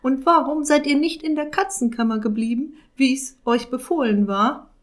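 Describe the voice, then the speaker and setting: A woman voicing a sly, suspicious witch with a shrill, sugary-sharp manner in a storybook reading. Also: moderate pace, close to an everyday voice. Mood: falsely sweet.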